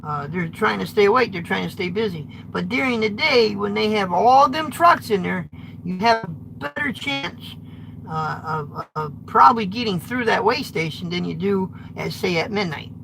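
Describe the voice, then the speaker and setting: An American man with a high-pitched voice, a trucker vlogger chatting live with viewers from his truck cab in a blunt, rambling way. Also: animated, moderate pace, vocal fry, noisy background. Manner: rambling, blunt, restarts often. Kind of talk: live-streamed chat with viewers.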